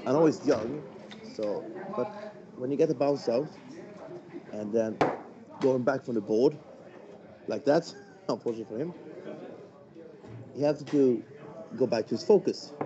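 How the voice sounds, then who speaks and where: Chatty non-native English darts commentator. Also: male voice, mid-range voice, measured pace, long pauses, muffled recording, a crowd in the background. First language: not English. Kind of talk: live remote commentary. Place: home, concert noise nearby.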